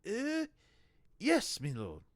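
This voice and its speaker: A fawning, nervous underling with a raised, jittery voice that swings up high on every reply, as a male reader puts him on. He answers with dry, close deference. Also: huge pitch swings, very slow, no echo, exaggerated voice.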